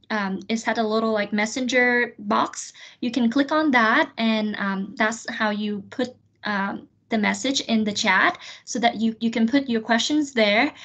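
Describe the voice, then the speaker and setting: A woman moderating an alumni webinar on a video call, hesitant and filling pauses with "um". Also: on the high side, fairly bright tone. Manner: hesitant, many "um"s. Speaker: admissions counselor and moderator.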